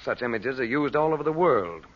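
An actor playing a calm, authoritative magician uncle in an old-time radio drama. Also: male voice, mid-range voice, brisk, lightly acted. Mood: grave, insistent. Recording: dull, husky old radio recording.

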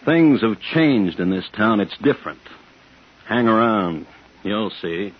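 Weary, low-voiced police chief on old radio. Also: male voice, animated, breathy edge, heavily acted. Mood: resigned. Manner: tired, halting, guarded. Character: weary, evasive police chief of a frightened town. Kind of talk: 1950s radio thriller acting. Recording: dull, faintly noisy 1950s radio broadcast.

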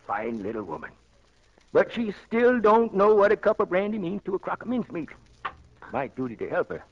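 Sly, good-natured farm dad, high and animated, rural American talk, 1940s radio drama. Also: male voice, brisk, heavily acted. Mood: sly cheerful. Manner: folksy rural speech, muttering asides. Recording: muffled 1940s radio broadcast.

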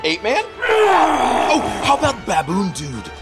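Male actor as youthful superhero drama lead: very high, wildly animated delivery, quippy and exasperated. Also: takes it slowly, loud music behind the voice, heavily acted. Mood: flippant. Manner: wisecracking, flippant, excitable. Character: young male wisecracking superhero, exasperated and excitable. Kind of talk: dramatic script table read.

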